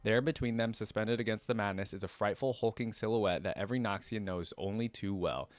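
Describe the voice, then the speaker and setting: A man hosting a reaction video, reading a story aloud and reacting excitedly with many fillers. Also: on the low side, a little fast, no echo. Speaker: reaction channel host.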